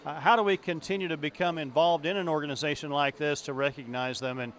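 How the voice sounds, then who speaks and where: A man's voice: on the high side, fairly even intonation, fast, fairly bright tone, slight background noise. Man interviewing with a handheld mic. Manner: conversational with fillers. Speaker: farm broadcaster interviewer. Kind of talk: field interview. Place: indoor exhibition hall.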